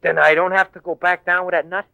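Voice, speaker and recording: A man's voice: sits high, level tone, fast talker, creaky, smooth, no room sound, phone-quality sound. A man playing a streetwise American tough-guy sidekick in a 1940s radio drama, slangy, breezy and blunt. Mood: cheeky, eager.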